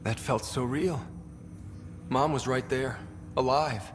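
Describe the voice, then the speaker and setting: A man's voice: mid-range voice, slow, faint music behind, natural voice. A man playing an earnest young space explorer hero in a video game. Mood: moved. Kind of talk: scripted video game dialogue. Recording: reverberant, clearly noisy background.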